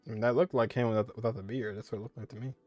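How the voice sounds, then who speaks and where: A man's voice: lowish voice, steady tone, racing, darkish tone. A man giving casual, conversational let's-play commentary as a gaming streamer. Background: faint game music.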